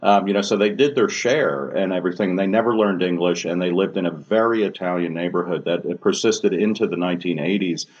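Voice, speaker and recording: A man with a very low voice tells family stories in a loose, conversational way. He pauses often on "uh" and "um" between thoughts. The sound is dull and lo-fi. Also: slightly rough. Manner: anecdotal, filler-heavy. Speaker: discussion panelist. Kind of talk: casual podcast discussion. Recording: dull lo-fi audio.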